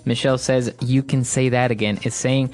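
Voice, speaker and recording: A man's voice: mid-range voice, fairly even intonation, moderate pace, darkish tone. Ecuadorian man co-hosting a language lesson. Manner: agreeable, explanatory, frequent "that's right". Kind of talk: casual teaching chat.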